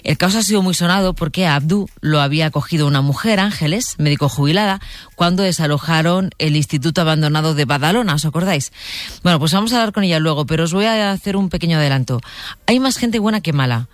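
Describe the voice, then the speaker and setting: A woman's voice: deep voice, fast talker, no room sound. A woman hosting a late-night radio talk show from a studio, speaking conversationally and addressing listeners directly. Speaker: radio host.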